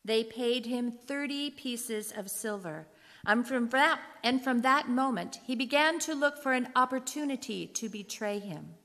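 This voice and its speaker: Female narrator, fairly high voice, measured scripted scripture reading in a reverberant hall. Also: a bit bright.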